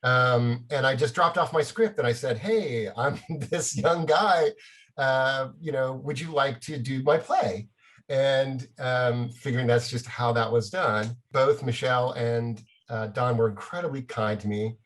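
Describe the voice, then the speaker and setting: A middle-aged man, a playwright, telling casual anecdotes in an animated, self-deprecating way with chuckles. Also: medium pitch, a little slow.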